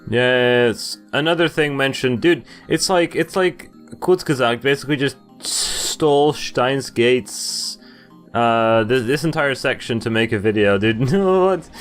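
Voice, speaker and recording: A man's voice: mid-range voice, a little slow. A young man doing a gaming playthrough, reading text aloud and reacting casually into a close mic. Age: young adult. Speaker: let's player. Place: indoors at a computer, webcam view. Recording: game music behind the voice. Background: game music.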